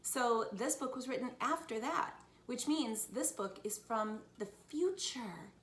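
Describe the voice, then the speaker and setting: A woman's voice: fairly high pitch, lively intonation, slow, a bit bright, echoey room. A woman reading a children's storybook, voicing a cautious, studious young boy who explains things earnestly. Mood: awed. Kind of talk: children's storybook read aloud.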